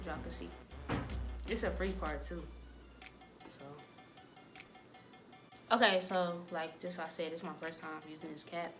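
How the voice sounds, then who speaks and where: A woman's voice: deep voice, steady tone, measured pace, pauses often, music in the background. A young American woman chatting casually to camera as a vlogger, in a loose, slangy, rambling way. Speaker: vlogger giving a wig-making tutorial.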